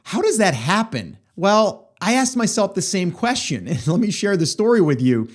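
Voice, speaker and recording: A hoarse middle-aged man hosts his own podcast and talks to listeners in a loose, chatty monologue. His voice sits a little higher than most men's, and he rambles along with plenty of fillers as he tells a story. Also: sing-song, normal speed. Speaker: podcast host. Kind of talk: casual solo podcast monologue.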